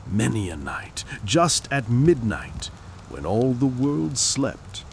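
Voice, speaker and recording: A man voicing an obsessed, cunning madman in dramatic gothic storytelling, theatrical and deliberate, dropping into hushed emphasis. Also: mid-range voice, vocal fry, heavily acted. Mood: hushed dread. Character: obsessed, cunning madman boastfully confessing a murder. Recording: steady background noise of fire and rain. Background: crackling fire and rain.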